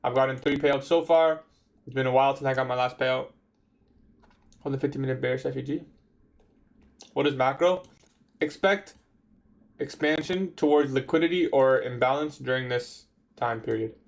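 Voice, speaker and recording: A man's voice: medium pitch, moderate pace, gravelly, pauses often. A man in his twenties chatting casually on a trading livestream, answering viewer questions in a laid-back, slangy way. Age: 20s. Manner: laid-back, slangy, says bro a lot. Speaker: livestream trader.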